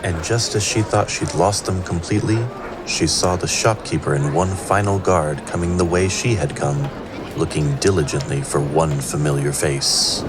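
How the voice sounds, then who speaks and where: A man's voice: sits low, moderate pace, noisy background, natural voice. A man performing scripted narration of a fantasy tale as an all-knowing storyteller. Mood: suspenseful. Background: prominent music.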